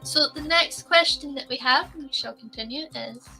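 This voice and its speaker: A Scottish woman co-hosting a casual business podcast, chatty and quick to laugh. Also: sits high, gravelly, pushed and bright, faint music behind, rough recording. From Scotland. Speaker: co-host, designer.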